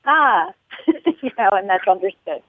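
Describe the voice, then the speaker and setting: A woman's voice: mid-range voice, sing-song, unhurried, dry recording. A musician woman from the United States, talking in a phone interview, rambling and laughing often. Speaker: musician guest. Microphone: telephone. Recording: tinny phone line.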